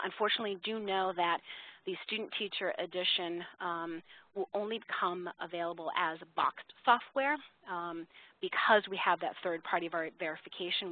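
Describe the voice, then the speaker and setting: Woman presenter on a tinny phone line. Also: fairly low pitch. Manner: polite, formal address to audience. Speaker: webinar presenter for a software company. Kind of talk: informal webinar question-and-answer session.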